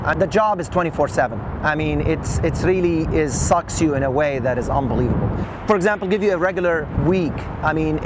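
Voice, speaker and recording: A middle-aged man recounts his work in an unscripted interview, hesitating often as he goes. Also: on the high side. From Lebanon. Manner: halting, with frequent long hesitations. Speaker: engineer interviewee. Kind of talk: oral history interview, unscripted recollection. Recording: loud outdoor traffic noise.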